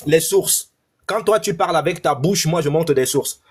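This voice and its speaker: A man's voice: fairly high pitch, normal speed, breathy edge. A man from Cameroon with a Cameroonian French accent, lecturing emphatically in a heated online debate and repeating his phrases.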